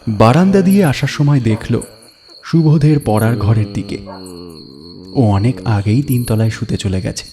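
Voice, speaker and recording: A man narrating a crime story in a measured, suspenseful, dramatic storytelling style. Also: mid-range voice, crowd noise. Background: background music.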